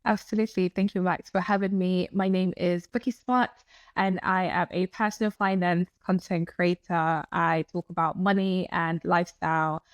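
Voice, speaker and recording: A woman's voice: on the low side, fairly even intonation, moderate pace, dry recording, muffled recording. A woman in her twenties, raised in Nigeria, answering interview questions about personal finance in a fluent, conversational way with frequent "like" fillers. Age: 20s. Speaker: guest, personal finance content creator.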